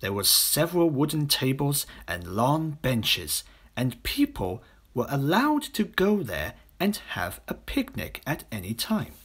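A man narrating a children's audiobook in an expressive, theatrical storytelling manner. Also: mid-range voice, husky.

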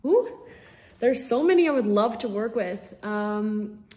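A woman's voice: a little high, animated, measured pace, warm tone. Eager young woman from the Netherlands. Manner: bubbly, eager, with frequent ums. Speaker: singer-songwriter guest. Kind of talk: casual interview answers. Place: small home room at night.

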